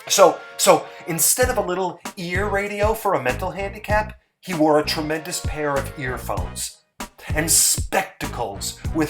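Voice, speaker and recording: A man giving a dramatic story narration, theatrical and emphatic, with pauses for effect. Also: fairly high pitch.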